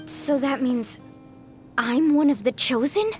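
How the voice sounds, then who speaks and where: A woman's voice: high-pitched, slow, soft background music. A woman voicing an eager young woman warrior hero in a game, earnest and questioning. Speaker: game character.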